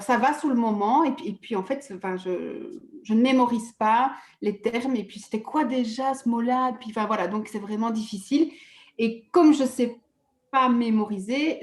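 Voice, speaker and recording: A woman's voice: a little high, a little mellow. Hesitant woman in an echoey room. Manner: hesitant, halting, laughs at herself. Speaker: therapy client. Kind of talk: personal conversation.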